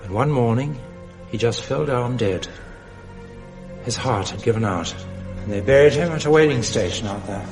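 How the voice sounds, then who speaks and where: An actor playing a gentle, weary older father soothing his frightened young son with unhurried storytelling in a radio drama. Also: medium pitch, lively intonation, music in the background, lightly acted. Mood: sombre.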